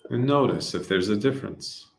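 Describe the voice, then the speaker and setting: A man calmly leading a guided breathing meditation, giving soft-spoken instructions with long silences between them. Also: on the low side, fairly quick, vocal fry, echoey room.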